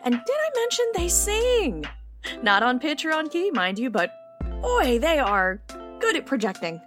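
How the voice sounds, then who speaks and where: A woman's voice: high voice, very animated, a little slow, soft background music. A woman playing a petty, indignant neighbor in a wry, theatrical yet conversational comic monologue. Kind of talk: comic monologue in audio drama.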